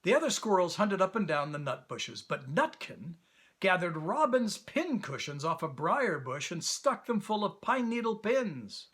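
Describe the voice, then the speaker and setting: A man reading a children's story aloud as an animated storybook narrator. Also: high-pitched, unhurried, slightly rough.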